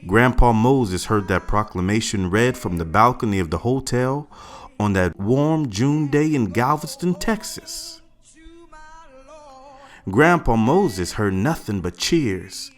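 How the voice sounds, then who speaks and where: A man reading a father's part in a family picture-book read-aloud, telling his little daughter their family's history in a slow, expressive way. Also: fairly low pitch. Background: faint added music.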